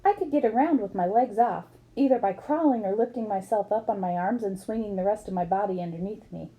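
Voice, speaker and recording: A woman's voice: medium pitch, brisk, warm tone, poor audio. A woman reading a book aloud expressively, as for children, acting up the dialogue. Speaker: book reader.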